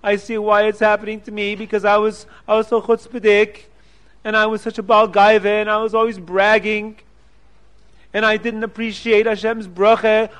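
Shrill desperate rich man, sobbing and begging; a man does the voice. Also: fairly bright tone, smooth. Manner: wailing, pleading, sobbing. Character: rich proud man, now a desperate wailing prisoner.

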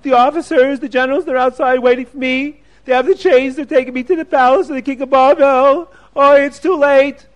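Shrill desperate rich man, sobbing and begging; a man does the voice. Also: flat delivery, moderate pace, clear, resonant tone, dull audio, natural voice. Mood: sobbing remorse. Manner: wailing, pleading, sobbing. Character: rich proud man, now a desperate wailing prisoner.

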